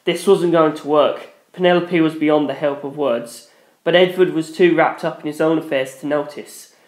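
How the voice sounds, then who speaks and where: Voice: mid-range voice, steady tone, normal speed. A narrator reading a dramatic first-person story. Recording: echoey room.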